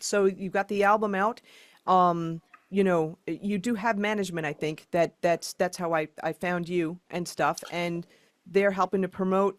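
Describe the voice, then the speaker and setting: A woman's voice: mid-range voice, no echo. Rambling woman podcast host, dry sound. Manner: rambling, filler-heavy. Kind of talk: interview chat.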